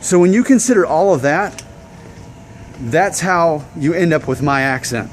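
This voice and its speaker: A man in his thirties from Alabama, his mild Southern American accent coming through as he talks casually to the camera. His voice sits a little high for a man, and he thinks aloud in a relaxed, chatty way. A faint noise hums underneath the recording. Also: a little slow. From Alabama, USA. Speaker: vlogger.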